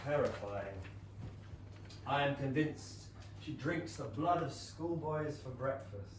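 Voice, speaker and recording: A man playing a self-assured, drily witty young Regency gentleman in a staged comedy reading, wry and teasing. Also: medium pitch, lively intonation, slow. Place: small theatre stage. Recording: very echoey room, distant mic, faint noise.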